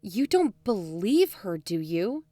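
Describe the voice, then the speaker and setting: A woman's voice: mid-range voice, sing-song, slow, mellow, no echo. A woman playing a pragmatic documentary-maker and concerned friend in an audio drama, conversational, frank and pressing. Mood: sceptical. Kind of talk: naturalistic audio drama acting.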